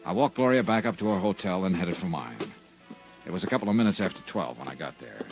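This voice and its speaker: A man's voice: deep voice, animated, fast, vocal fry, over music, low-quality recording. An actor playing a tough, dry-witted male insurance investigator in a 1950s radio drama, narrating terse and hard-boiled. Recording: old radio transcription with faint hiss.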